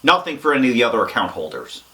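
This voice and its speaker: A man playing a gruff, stubborn police negotiator boss with a curt, dismissive manner in a comedy sketch. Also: medium pitch, big pitch swings, rapid-fire, bright, forceful tone.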